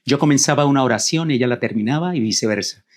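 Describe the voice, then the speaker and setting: A middle-aged man from Rico, a guest telling his life story in a reflective, measured way. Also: mid-range voice. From Puerto Rico. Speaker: guest, co-author. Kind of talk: personal storytelling in conversation.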